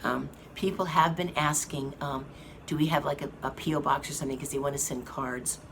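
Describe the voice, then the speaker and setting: Hoarse woman, very deep voice, slow halting talk, fan hum behind. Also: fairly even intonation. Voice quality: slow, halting speech with many fillers. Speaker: vlogger giving a health update. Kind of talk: casual update to viewers. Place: hospital rehab room with an air conditioning fan. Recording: noisy room with fan hum. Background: air conditioning fan.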